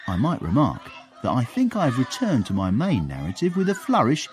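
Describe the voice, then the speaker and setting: Lively, gossipy manservant narrator. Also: male voice, mid-range voice, fairly quick. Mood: florid, exasperated. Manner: wry, florid, relishing. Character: cheeky, gossipy manservant narrator with literary airs. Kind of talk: dramatised scripted narration, period radio comedy.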